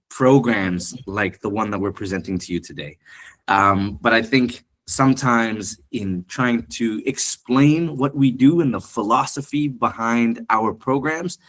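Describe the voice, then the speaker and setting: A man from Canada and Thailand, a program director, giving an online seminar presentation in a polite, earnest, measured way. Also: fairly low pitch, fairly bright tone.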